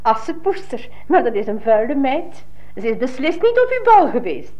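A woman's voice: high voice, sing-song, moderate pace, mellow. A woman with a Flemish accent playing a scornful, jealous young stepsister, mocking and bossy, in 1950s radio fairy-tale style. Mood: flustered, bossy. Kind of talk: 1950s radio drama acting.

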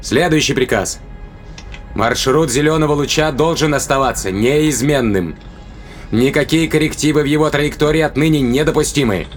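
An actor playing a defiant, quick-thinking spaceship captain in a science fiction audio drama, terse, commanding and rough-tongued. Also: male voice, on the high side, close to an everyday voice. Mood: confident, commanding.

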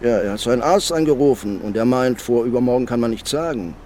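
An actor playing a blunt, mocking police detective with a coarse manner in a radio crime drama. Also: male voice, mid-range voice, quick pace, a little mellow, a little hiss or hum, lightly acted. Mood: sardonic. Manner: coarse, sardonic.

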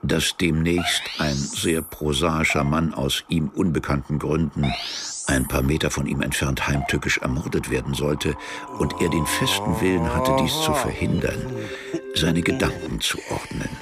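A man narrating a literary radio drama in a measured, detached and deliberate manner. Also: very deep voice. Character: detached literary narrator of a drugged man's ordeal. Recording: music under the voice.

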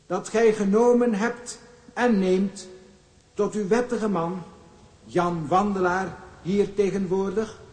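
Formal preacher declaiming vows, real slow, very echoey hall. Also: male voice, sits high, darkish tone. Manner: solemn, formal, measured. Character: solemn Protestant minister conducting a wedding. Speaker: minister. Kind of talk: ceremonial declamation. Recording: echoey hall with long reverb.

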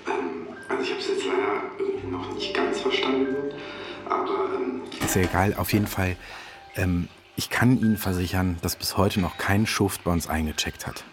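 A man's voice: sits low, normal speed, background noise. A man speaking as a host, addressing listeners formally and politely like a radio presenter. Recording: music behind the voice.

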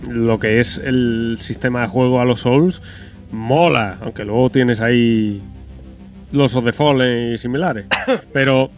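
A man's voice: medium pitch, measured pace. Man, podcast co-host, blunt, skeptical, casual, faint background music. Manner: blunt, swears, skeptical, laughs often. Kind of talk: casual gaming chat.

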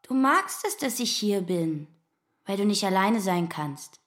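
A woman's voice: medium pitch, animated, a little slow, lightly acted. An actress playing a defiant, provocative young outsider woman in a naturalistic radio drama. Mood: tired, cheeky.

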